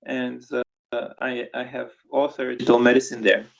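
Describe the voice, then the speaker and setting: A man from Bulgaria speaks as a guest on an online panel, his voice gravelly with vocal fry. He explains in a measured, methodical way, often restarting his sentences. The sound is dull and lo-fi, like an internet call. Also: mid-range voice, flat delivery.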